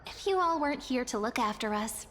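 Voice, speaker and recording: A woman playing a polite, inquisitive young traveller heroine in a video game, gentle and thoughtful. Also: fairly high pitch, expressive, brisk, a little hiss or hum. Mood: polite, friendly. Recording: long hall reverb.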